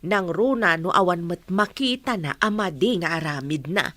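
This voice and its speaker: A woman's voice: lowish voice, normal speed, fairly bright tone, no echo. A woman with an Ilocano accent playing a fair-minded, reasoning village woman in a radio drama. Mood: reproving.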